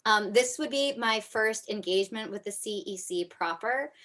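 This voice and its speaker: Casting: woman candidate on a video call, earnest, mid-pitched. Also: moderate pace, fairly bright tone. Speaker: school council candidate. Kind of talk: candidate forum answer over video call.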